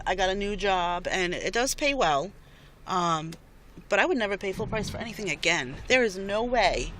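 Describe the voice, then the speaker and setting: A woman's voice: expressive, moderate pace. A woman, chatting casually and informally to her viewers as a video blogger, recorded on a phone inside a car. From Connecticut, northern United States. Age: 30s. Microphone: phone at a distance. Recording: noisy car interior, distant phone mic, road rumble. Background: loud road and vehicle noise.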